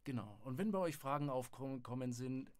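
A young adult man hosting a podcast, asking a fellow student relaxed, friendly questions. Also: mid-range voice, moderate pace. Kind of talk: casual podcast interview. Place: studio at a music academy.

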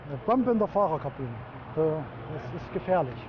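A police officer speaks in brisk, terse remarks while on duty. His voice is high for a man. The recording sounds dull, with clear traffic noise behind him. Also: expressive. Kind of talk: casual talk on duty.

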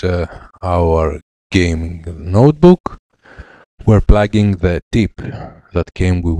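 A man in his thirties with a Greek accent, a repair technician explaining a laptop fix in halting English with many fillers, on a headset mic in his lab. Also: low voice, dark tone, dry recording. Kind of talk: hands-on repair tutorial. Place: electronics repair lab, indoors.

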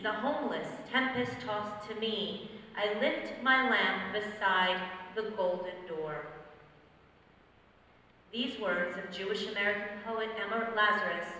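Bright-voiced woman reciting in an echoey hall. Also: medium pitch. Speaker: narrator. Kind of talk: dramatic recitation and scripted narration. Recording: distant, echoey hall with noise.

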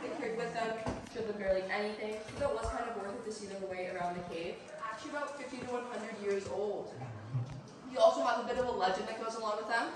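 A woman's voice: on the high side, background noise. Young woman tour guide in an echoey cave. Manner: informative, measured, explains to a group. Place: large cave, indoors. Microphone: far camera held by a visitor. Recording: echoey cave recorded from far off.

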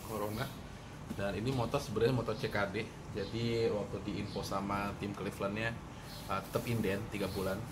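A man, chatting casually about his motorbike with lots of fillers, filmed on a camera held at arm's length on a covered porch outdoors. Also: fairly low pitch. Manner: slangy, chatty, many fillers and restarts. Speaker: motorcycle vlogger. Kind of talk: casual review chat. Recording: noisy outdoor ambience.

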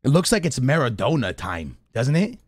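A man's voice: mid-range voice, wildly swooping pitch, moderate pace, a crowd in the background. A man in his thirties chatting casually and in slang to his live stream chat while reacting to clips. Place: small indoor streaming room. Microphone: close studio mic on boom arm.

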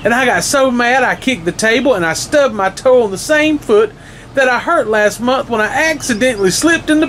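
A man's voice: extremely high pitch, bright, forceful tone, slight background noise, pitched above the performer's own voice, heavily acted. A man voicing a small, upset boy who rambles through a long run-on complaint. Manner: whiny rapid run-on complaining.

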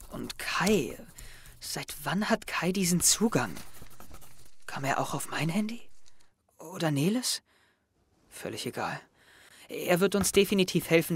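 A man's voice: fairly high pitch, a little hiss or hum. A young man playing an anxious, withdrawn, self-doubting teenage schoolboy in an audio drama. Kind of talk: audio drama dialogue and inner monologue.

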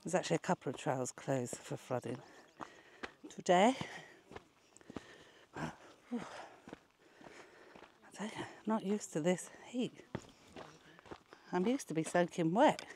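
A woman's voice: lowish voice, expressive, takes it slowly, pauses often, a little mellow, slight background noise. An older woman narrating a casual travel vlog while hiking. She is out of breath, chatty and teasing, and grumbles about the heat and the flies. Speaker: travel vlogger. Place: outdoors on a hot bush trail and lookout. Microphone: wearable action camera.

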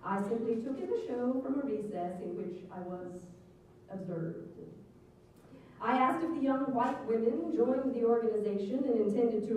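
A woman's voice: a little high, warm tone. A woman reading old newspaper articles aloud to an audience in a theatrical, arch storytelling manner. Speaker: reader at a public event. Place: hard-walled room with small stage. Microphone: stand mic, recorded by far camera. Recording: echoey hall, distant mic, some noise.

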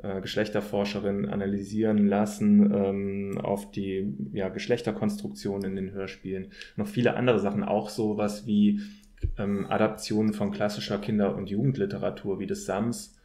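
A man speaking as an academic podcast guest, explaining conversationally in measured, hesitant phrases. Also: on the low side, level tone, mellow, faint music behind. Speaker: podcast guest, political scientist.

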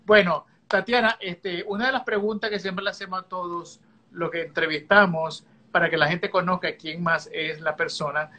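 A man's voice: high-pitched, steady tone, normal speed, sharp tone. A middle-aged man co-hosting an interview show, chatting playfully with a guest and thanking a sponsor over a video call. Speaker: co-host.

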